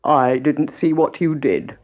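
Woman as a slow, groaning lazy frog. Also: low-pitched, darkish tone. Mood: moaning.

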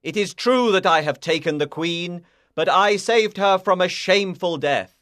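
An actor playing a noble, loyal knight in a dramatised storybook, declaiming earnestly and defiantly. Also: male voice, high-pitched, moderate pace, a bit bright.

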